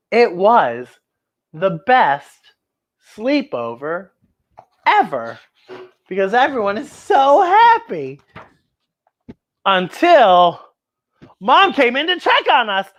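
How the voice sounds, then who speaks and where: A woman hosting children's story time, reading a picture book aloud and exclaiming directly to young viewers. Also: medium pitch.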